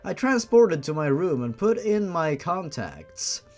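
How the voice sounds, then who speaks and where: A man's voice: a little high, big pitch swings, measured pace, a little mellow. A man reading fan fiction aloud as a host, with sarcastic, exasperated asides and casual swearing.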